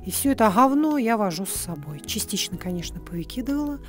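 Hoarse middle-aged woman, phone vlogger, candid and chatty with whispered asides, faint music underneath. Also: mid-range voice, very animated, fast. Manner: chatty, frank, playfully crude, drops to whispery asides. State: slightly hoarse. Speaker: vlogger, psychologist. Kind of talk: casual travel vlog, room tour. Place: hotel and city streets in and out of doors.

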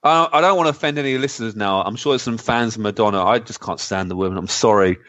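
A man's voice: fairly low pitch, fast talker, a bit bright, no room sound, dull audio. A man co-hosting a podcast in casual chat, with a tongue-in-cheek manner. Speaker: podcast co-host.

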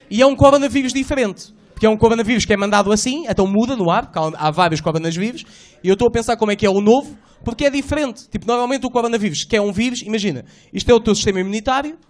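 A man doing a stand-up set in a small club, slangy and animated, acting out voices and sounds. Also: high-pitched. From Portugal. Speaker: stand-up comedian. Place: small club stage, indoors. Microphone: handheld mic.

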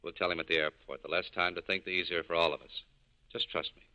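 An actor playing a cool, cynical nightclub owner in clipped, hard-boiled 1940s radio style. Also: male voice, deep voice, fairly even intonation, fast, bright, forceful tone. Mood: businesslike. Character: tough, cool cynical male nightclub owner scheming an escape. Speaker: lead actor in a radio drama.

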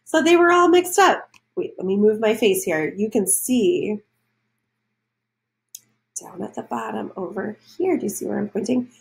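A woman's voice: medium pitch, animated, moderate pace, vocal fry, long pauses. A woman reading a children's storybook aloud, adding explanations and questions for young listeners.

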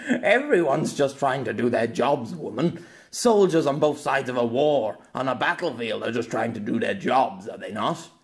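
A man's voice: medium pitch, lively intonation, normal speed, lightly acted. A man voicing a defiant, cocky swordsman nearing sixty in an audiobook, with brash, taunting delivery. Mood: scornful.

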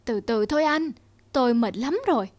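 A woman's voice: fairly high pitch, expressive, moderate pace, darkish tone, slight background noise, lightly acted. A woman voicing a meek, exhausted donkey in a cartoon, pleading wearily. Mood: weary pleading. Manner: pleading, weary. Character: meek, exhausted, overloaded donkey begging for help. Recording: music under the voice.

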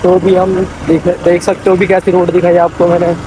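A man's voice: high-pitched, completely flat delivery, fairly quick, background noise. A North Indian man chatting casually as he hosts a travel vlog. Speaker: vlogger hosting an outing with friends.

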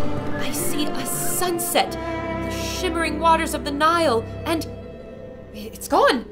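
An actress playing an earnest young radio reporter, describing events to listeners in an audio adventure drama. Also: female voice, high voice, natural voice.

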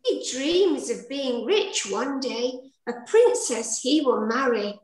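A middle-aged woman narrates a pantomime as a storyteller. Her voice is high and unhurried, heard over a roomy, echoey video call. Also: expressive. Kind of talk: scripted rhyming storytelling narration. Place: indoor room.

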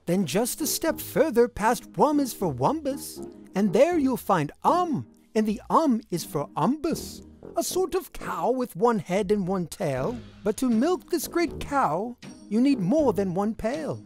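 Female children's storyteller, high register, swooping expressive delivery, theatrical sing-song rhyme, music underneath. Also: measured pace. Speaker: storybook reader. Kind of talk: expressive read-aloud of a rhyming children's picture book. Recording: music behind the voice.

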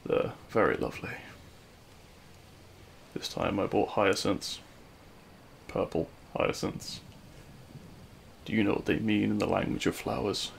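A man playing a regretful, lovelorn supervillain in an audio roleplay, speaking in a hushed, halting, wistful way. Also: lowish voice, steady tone, vocal fry, slight background noise. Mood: tender, subdued. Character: regretful lovelorn male supervillain addressing his fallen hero. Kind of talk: scripted ASMR audio roleplay monologue.

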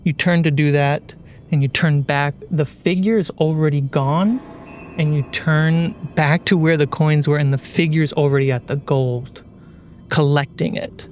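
Male game master, fairly high voice, narrating fantasy scenes over faint ambient noise. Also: fairly even intonation, a little slow.

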